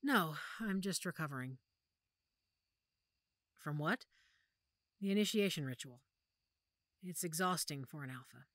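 A woman acting a protective, weary werewolf pack leader in an audio roleplay, speaking softly and measuredly with thoughtful pauses. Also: deep voice, expressive, no echo, heavily acted. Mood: gentle. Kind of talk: audio roleplay drama, one-sided conversation.

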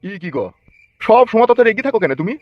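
A man's voice: high-pitched, very animated, fast talker. A man voicing a proud, stubborn village father in a comic cartoon, argumentative, with a rural West Bengal accent. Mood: irritated. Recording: dull lo-fi audio, music behind.

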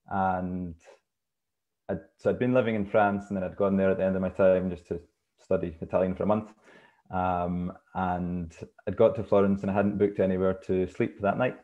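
A man in his thirties with a fairly low voice, telling stories casually as a guest guide. The sound is slightly dull, as on a video call from a room at home. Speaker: tour guide, panel guest. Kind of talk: casual anecdote telling on a round table. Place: small room at home, indoors. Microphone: earphone mic on a video call. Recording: muffled video call audio.

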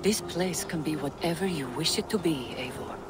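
An older woman playing a gentle, resigned old seeress in a video game, with a soothing, measured delivery. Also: low voice, loud music behind the voice, lightly acted. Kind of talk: dramatic video game acting.

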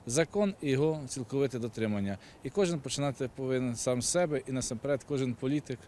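Guy rattling off his answer fast, street traffic faint in back. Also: medium pitch, dark tone. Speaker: passerby. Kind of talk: street vox pop.

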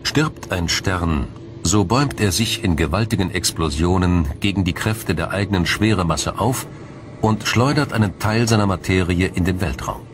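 A man with a low-pitched voice narrates a documentary from a script. His delivery is measured and explanatory, and faint noise sits behind the voice.

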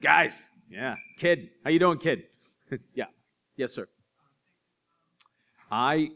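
A man in his forties telling animated, self-deprecating stories to an audience on a library stage. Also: low-pitched. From Boston area. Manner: animated storyteller, acts out voices in anecdotes, self-deprecating. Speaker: author speaking to an audience. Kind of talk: storytelling talk and audience Q&A. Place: library stage in front of a curtain.

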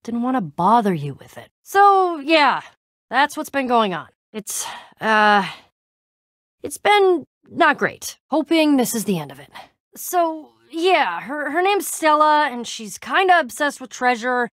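A woman's voice: high-pitched, sing-song, unhurried, fairly bright tone, no echo, studio quality, exaggerated voice. An actress playing a tough, guarded young woman adventurer in a video game, speaking casually with wry exasperation and hesitant trailing-off. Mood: uneasy, explaining.

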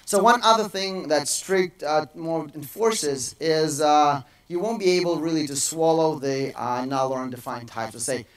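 A man in his thirties gives a technical keynote in a large dark auditorium. His voice sits slightly high, and he talks casually with frequent fillers and restarts. The sound comes from some distance off the mic. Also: unhurried, hardly any pauses. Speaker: conference speaker.

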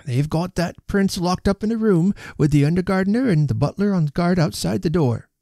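Male narrator as frightened old countryman, high-pitched, croaky, breathless, whimpering, storybook character. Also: animated, a little fast, dark tone, dry recording, studio quality. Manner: whimpering, rustic uneducated speech.

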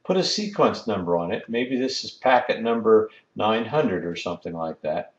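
A man's voice: fairly low pitch, expressive, normal speed, echoey room, dull audio. A man giving a methodical, step-by-step technical lesson as an instructor. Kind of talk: explanatory screen-recorded lesson.

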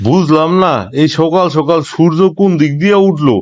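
A man's voice: a little high, brisk, darkish tone, a little hiss or hum, close to an everyday voice. A man playing a bewildered, aggrieved old father in a comic cartoon, grumbling with a Bangladeshi accent. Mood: baffled. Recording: music behind the voice.

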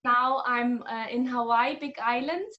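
A high-pitched woman in her thirties, originally from Germany, speaking on a video call from a small home room. She talks animatedly as a guest explaining her healing work, often breaking into laughs. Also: steady tone, a little slow, dull audio. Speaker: guest, energy healer. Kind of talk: casual video-call interview.

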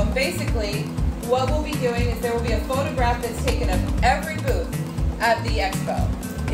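A middle-aged American woman making an informal, emphatic announcement to a gathering, speaking colloquially and prompting listeners with questions. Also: a little high. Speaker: event host. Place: indoor venue at a mixer event. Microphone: camera a few metres away. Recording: roomy, distant mic, loud background music. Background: loud music.

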